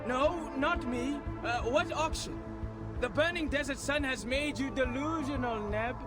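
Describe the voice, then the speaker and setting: A scared, evasive boy thief in a game. His voice is extremely high and he talks slowly, with hesitant stammers, over clear music. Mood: evasive, nervous. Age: young. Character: nervous, evasive young boy thief in hiding. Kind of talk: dramatic video game acting. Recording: music behind the voice.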